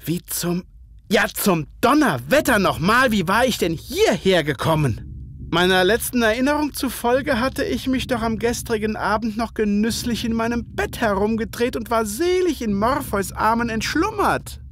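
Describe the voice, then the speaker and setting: A man playing an agitated, confused would-be killer narrating a radio play with theatrical, halting pauses. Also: high-pitched, expressive, fairly bright tone, slight background noise. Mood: bewildered. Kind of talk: dramatic first-person radio play narration.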